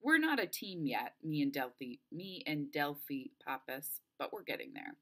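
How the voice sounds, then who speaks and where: A woman reading a first-person children's novel aloud in an expressive storytelling style. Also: sits low, normal speed. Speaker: story reader.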